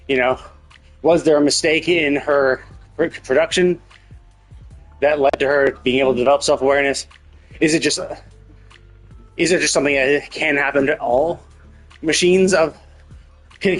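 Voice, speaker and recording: A man with a husky voice giving casual, rambling livestream commentary, thinking aloud and often rephrasing his own questions. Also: fairly high pitch, poor audio. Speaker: livestream host.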